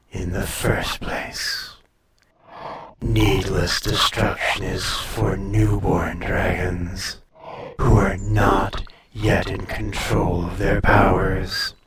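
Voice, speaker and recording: A man playing an ancient, proud dragon in a fantasy audio roleplay, speaking formally and deliberately with a calm menace. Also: sits low, very noisy. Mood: knowing, assured. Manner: slow, formal, deliberate speech with long pauses. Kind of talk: scripted fantasy audio roleplay, addressed to listener.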